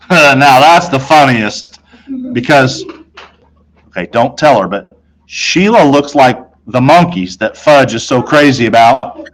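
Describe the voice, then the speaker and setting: A man reading a children's novel aloud in an expressive, conversational way, telling it as a boy in the first person. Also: medium pitch, slow pace, pauses often, rough recording. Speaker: storybook reader.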